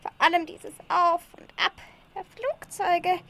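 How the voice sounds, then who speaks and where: A woman's voice: very high-pitched, measured pace, a bit bright, heavily acted. A woman playing a lonely, caring old grandma, fussing and sighing in an amateur radio play. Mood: wistful, chatty. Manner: fussing, chatty, sighing, uses southern German dialect words.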